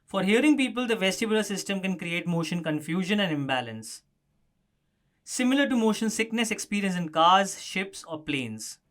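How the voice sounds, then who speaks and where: A man's voice: high-pitched, big pitch swings, moderate pace, fairly bright tone. A man presenting a scripted explanatory news story as a narrator.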